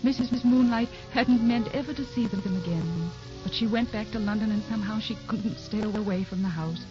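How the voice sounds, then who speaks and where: A woman playing the reflective narrator of a sentimental old-time radio drama, with a halting storytelling delivery. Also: mid-range voice, close to an everyday voice. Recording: dull old radio recording, music behind.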